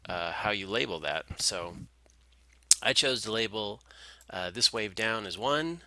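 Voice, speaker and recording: Male analyst, lowish pitch with a very sharp, bright edge, informal tutorial delivery, close and dry. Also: animated, a little slow, no room sound. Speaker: market analyst presenter. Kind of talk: informal screen-share chart commentary.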